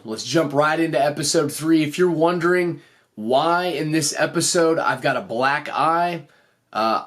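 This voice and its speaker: Male podcast host, somewhat high voice, slightly worn from recent voice loss, delivering earnest motivational explanation. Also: fairly bright tone. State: voice slightly off after losing it.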